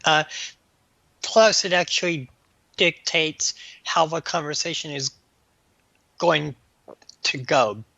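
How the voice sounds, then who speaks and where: A man speaking as an interview guest in casual conversation, with a halting, choppy delivery and stammered word starts. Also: a little high, creaky, sharp tone, no room sound, dull audio. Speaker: interview guest, author and editor.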